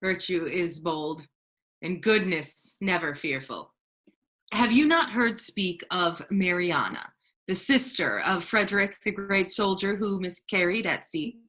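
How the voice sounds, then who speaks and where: A woman playing a disguised duke posing as a grave, counseling friar, in measured, formal Shakespearean verse. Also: lowish voice, animated, a bit bright. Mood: counseling, calm. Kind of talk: staged reading of Shakespeare.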